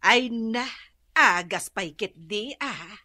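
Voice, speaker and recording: An Ilocano radio comedy gossip, giggly and boastful. Her delivery swings widely and takes its time, with drawn-out exclamations and confiding asides. The sound is close and dry. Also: fairly high pitch, animated, pushed and bright, no echo, full character voice. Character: gossipy, boastful neighborhood woman, giggly and excitable.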